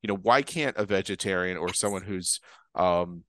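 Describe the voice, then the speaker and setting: A man's voice: low voice, normal speed, fairly bright tone, no room sound, studio quality. A man from Boston, a chef guest talking casually and enthusiastically, rambling with restarts and fillers. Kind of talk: casual interview chat.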